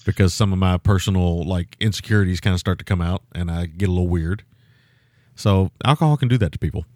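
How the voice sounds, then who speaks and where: Loud low-voiced guy rambling on a movie podcast, um and uh everywhere, dry close sound. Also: fast talker, no echo. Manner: rambling, many fillers and restarts. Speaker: podcast co-host. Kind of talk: casual film-review chat.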